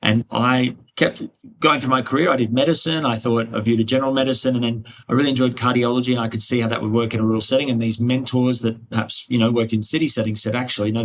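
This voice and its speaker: A man's voice: mid-range voice, little pitch movement, quick pace, slight background noise. An Australian man answering interview questions conversationally, in a reflective, discursive manner. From Hunter Valley, New South Wales, Australia. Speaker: interview guest, cardiologist.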